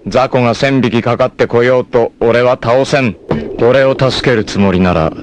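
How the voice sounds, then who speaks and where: A man's voice: medium pitch, moderate pace, music in the background, natural voice. A man playing a proud, vengeful martial-arts warrior in a 1980s anime, cool, ruthless and theatrical in delivery. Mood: scornful. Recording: noisy old anime clip compilation.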